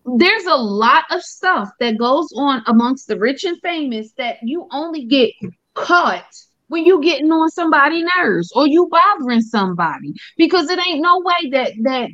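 A woman's voice: high-pitched, a bit bright, dry recording. A woman speaking bluntly and argumentatively, swearing freely in a casual podcast debate. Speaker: podcast panelist. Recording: dull, muffled audio.

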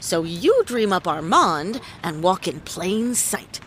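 A woman playing a wry, hard-boiled private detective in a noir audio drama, deadpan, quippy and world-weary. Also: lowish voice, takes it slowly, slight background noise. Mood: deducing.

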